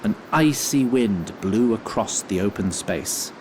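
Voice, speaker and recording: A man's voice: on the low side, expressive, slow pace, a little mellow, slight background noise, low-quality recording. A man playing a fussy, courtly wood sprite who narrates a fantasy audio drama in formal, theatrical language. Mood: grave storytelling.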